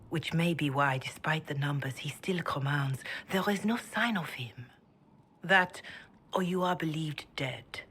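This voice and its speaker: An actress playing a calm, grave priestess who speaks softly and in a measured way, often half-whispered. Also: female voice, low-pitched, a little hiss or hum. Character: calm elderly woman cleric, gentle and grave.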